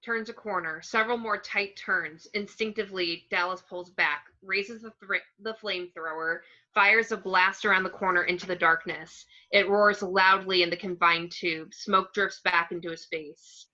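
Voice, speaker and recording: Woman, narrator, screenplay action lines, bright sharp tone, lower pitch, dull lo-fi recording. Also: fairly even intonation, normal speed, hardly any pauses. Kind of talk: reading screenplay action descriptions, scripted narration.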